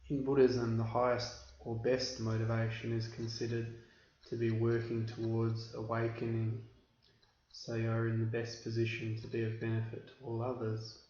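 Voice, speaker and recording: A man teaching guided meditation in a measured, instructional way with deliberate pauses. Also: mid-range voice, completely flat delivery, dark tone. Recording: roomy, dull recording with background hiss.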